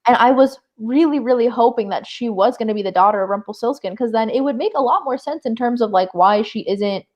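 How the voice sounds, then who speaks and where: A woman with a fairly high voice talks casually as a co-host of a live book show. She is animated and emphatic, phrasing things in a loose, chatty way with plenty of "like". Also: fairly quick, muffled recording.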